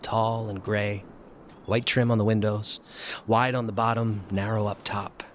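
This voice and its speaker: An actor playing a fearful, solitary male professor who narrates an audio drama in a grave, hushed, deliberate way. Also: fairly low pitch, level tone, slight background noise, close to an everyday voice. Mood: wistful, reflective. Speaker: narrator and lead character.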